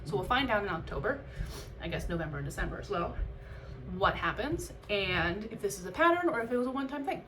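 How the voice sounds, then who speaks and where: A woman's voice: medium pitch, animated, fast talker, a bit bright, a little hiss or hum, low-quality recording. A woman vlogger chatting casually and candidly about her own experience, reflective and conversational with emphatic bursts. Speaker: vlogger and amateur runner. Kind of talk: casual, candid vlog chat.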